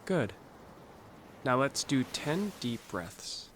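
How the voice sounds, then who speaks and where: A man narrating a scripted guided meditation in a calm, gentle, instructional way, leaving pauses between phrases. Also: mid-range voice, slight background noise.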